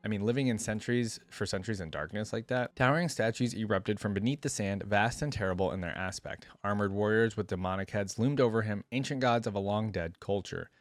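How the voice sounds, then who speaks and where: A man with a fairly low voice reads a dramatic tale aloud in a measured, solemn way. He is a reaction host, so the delivery can slip into a casual register. The sound is dry, with very little room. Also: dry recording. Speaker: reaction video host reading a story aloud. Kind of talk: dramatic story reading with casual asides.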